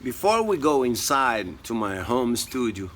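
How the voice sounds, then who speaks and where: Slow-spoken man teaching percussion. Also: mid-range voice, big pitch swings, a bit bright, slight background noise. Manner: slow, explanatory, counting beats aloud. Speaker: music teacher. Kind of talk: demonstrating percussion outdoors. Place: outdoors by a tree.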